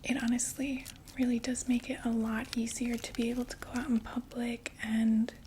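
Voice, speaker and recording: A young woman playing a female vampire door-to-door makeup saleswoman in an ASMR roleplay, whispering slow, gentle, chatty sales talk. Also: on the high side, flat delivery, noisy background, close to an everyday voice. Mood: soft, soothing.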